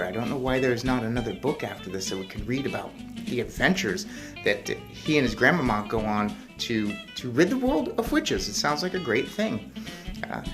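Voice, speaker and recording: A woman reading a story aloud as narrator and adding informal commentary on it. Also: rumbling low. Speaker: storybook reader.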